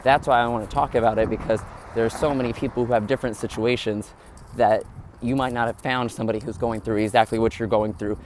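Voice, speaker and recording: A man's voice: medium pitch, steady tone, fast, a little hiss or hum. Earnest man vlogging to his viewers. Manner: earnest, open, addresses viewers directly. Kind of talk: candid vlog monologue to viewers.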